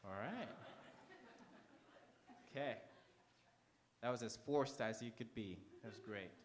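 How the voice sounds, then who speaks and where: Casual, halting male stage host. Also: medium pitch, slight background noise. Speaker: host warming up a live theater audience. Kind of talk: informal pre-show announcement to an audience. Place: on stage in an auditorium before a live audience.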